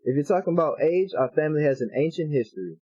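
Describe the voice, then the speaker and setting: A man's voice: on the high side, animated, quick pace, warm tone, husky, dry recording, dull audio. A man reading visual novel text aloud for a let's play, with casual slangy asides.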